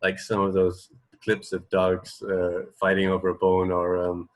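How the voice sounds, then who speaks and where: Low-voiced man thinking aloud in casual chat. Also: monotone, unhurried. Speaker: radio show co-host.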